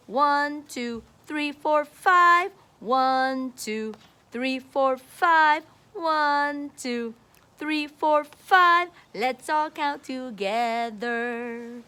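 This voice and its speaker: Female early-years teacher, high-pitched and unhurried, sing-song delivery for a children's read-aloud and counting lesson. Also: bright, forceful tone.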